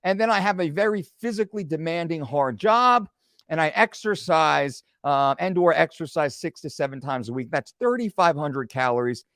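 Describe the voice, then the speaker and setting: Animated man in his forties explaining. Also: on the high side, moderate pace, no room sound, studio quality. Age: 40s. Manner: animated, joking asides. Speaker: fitness coach. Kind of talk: screen-share tutorial.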